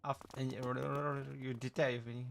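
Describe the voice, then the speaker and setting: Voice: mid-range voice, little pitch movement, moderate pace, vocal fry, soft background music. A game streamer commentating casually, reacting aloud with swearing and reading game text aloud.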